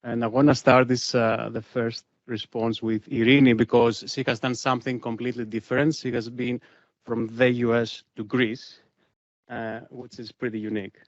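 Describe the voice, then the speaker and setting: Flat-voiced man moderating a panel. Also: medium pitch, normal speed, no room sound, highs cut off.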